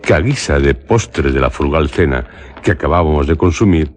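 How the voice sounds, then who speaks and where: Very deep, solemn gothic narrator. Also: male voice, expressive, slight background noise. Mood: solemn narration. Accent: Spanish from Spain. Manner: solemn, literary, measured. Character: educated male traveller narrating a gothic tale, skeptical. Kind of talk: radio drama narration.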